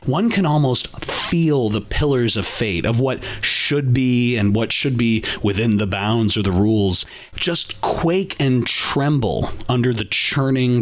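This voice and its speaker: A man hosting a podcast, delivering a scripted monologue in an earnest, emphatic way. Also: fairly low pitch. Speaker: podcast host.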